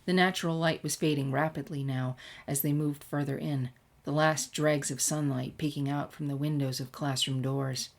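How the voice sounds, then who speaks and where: A woman narrates a story with expression. Her voice sits low for a woman, and she reads from a script as a storyteller would. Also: steady tone, normal speed. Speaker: fan fiction reader.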